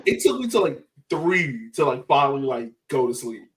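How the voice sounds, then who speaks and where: A man chatting casually as a sports fan podcast host, excited and slangy, swearing and repeating phrases for emphasis. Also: mid-range voice, moderate pace, distant mic, slight background noise.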